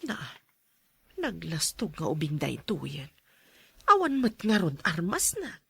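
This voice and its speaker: A woman playing a worried, scolding mother with a husky voice in a Filipino audio drama. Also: on the low side, sing-song, slow pace, bright, forceful tone, no echo, exaggerated voice.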